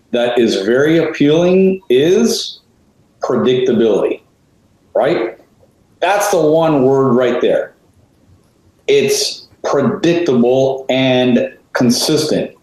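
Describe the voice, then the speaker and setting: A man's voice: medium pitch, darkish tone. Chatty man in a very echoey room. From Canada. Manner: talkative, many fillers, rhetorical questions. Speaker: co-host, real estate advisor. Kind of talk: conversational interview.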